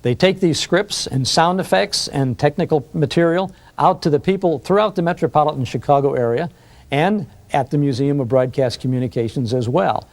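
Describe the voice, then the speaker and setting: A man's voice: on the high side, normal speed. An older American man presenting a scripted introduction to camera as host. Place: recording studio control room, indoors.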